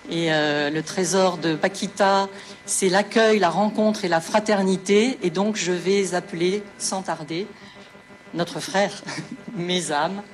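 A woman, director of a welcome centre, giving a measured, formal welcome speech with frequent hesitations. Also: on the low side, fairly even intonation, soft background music.

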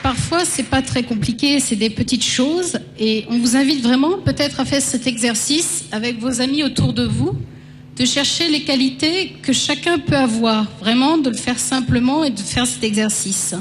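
A woman's voice: high voice, moderate pace. A woman hosting, addressing an audience informally and encouragingly between testimonies. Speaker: co-host introducing testimonies. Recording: reverberant hall, faint background noise.

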